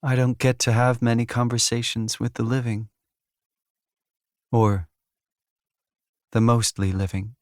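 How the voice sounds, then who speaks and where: A man voicing Death as a calm, aloof woman in a fantasy audiobook, speaking plainly and with restraint. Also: medium pitch, no echo, studio quality, natural voice. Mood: detached, musing. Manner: plain, measured, subdued. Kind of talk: fantasy romance audiobook character.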